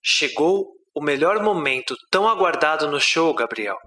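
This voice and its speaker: A man's voice: fairly high pitch, lively intonation, moderate pace, pushed and bright, echoey room. A man playing a malicious, menacing TV show host in a horror story, theatrical and sinister. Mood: gloating menace.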